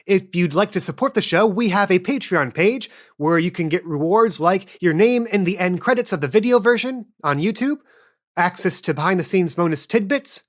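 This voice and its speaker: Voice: medium pitch, lively intonation, moderate pace, fairly bright tone. A game master hosting a podcast, narrating and announcing in a playful, jokey, casual way.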